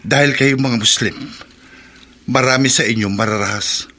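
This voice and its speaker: A man's voice: mid-range voice, moderate pace, bright, forceful tone, slight background noise, natural voice. An actor playing an angry, accusing young man with a confrontational manner in a Filipino radio drama.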